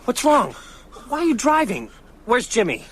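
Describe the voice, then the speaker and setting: A man's voice: very high voice, wildly swooping pitch, moderate pace, a bit bright, a little hiss or hum, full character voice. An actor playing an urgent, determined man hunting a vampire in a radio drama. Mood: alarmed, urgent. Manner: urgent, questioning.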